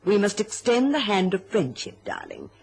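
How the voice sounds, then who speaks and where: Bossy greedy mother in a radio play, gushy darlings, pitch all over the place, bit noisy. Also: huge pitch swings, normal speed, gravelly, a little mellow. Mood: calculating, falsely pitying. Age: middle-aged. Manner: gushing endearments, imperious orders. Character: domineering, scheming, covetous mother with a silky-sweet false warmth. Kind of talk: radio drama acting.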